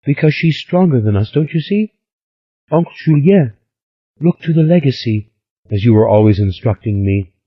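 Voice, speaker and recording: A man's voice: mid-range voice, lively intonation, moderate pace, breathy edge, pitched above the performer's own voice. A man voicing a sulky, melodramatic young woman niece in an audiobook. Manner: dramatic, sighing. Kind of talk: audiobook character voice. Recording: slightly dull lo-fi recording.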